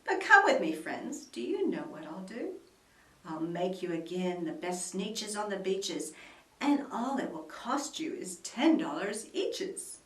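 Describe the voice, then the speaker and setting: A woman voicing a sly, smooth-talking male salesman creature in a storybook reading, with a wheedling sales-pitch manner. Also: big pitch swings, unhurried. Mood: coaxing. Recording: roomy echo, mic at a distance, faint music.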